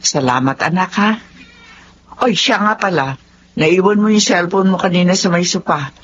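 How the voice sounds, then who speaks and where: A woman's voice: fairly low pitch, normal speed, lightly acted. A woman playing a concerned, disapproving mother in a Filipino radio drama, with a Filipino accent. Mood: motherly. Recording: dull, slightly noisy lo-fi recording.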